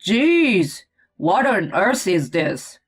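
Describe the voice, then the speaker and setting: A woman's voice: medium pitch, sing-song, extremely slow, husky, soft background music, full character voice. Story role: grumbling, indignant male picky eater, female performer, whiny delivery. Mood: disgusted. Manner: indignant, complaining. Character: grumpy, whiny grown man, a picky meat-loving eater. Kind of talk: acted story dialogue.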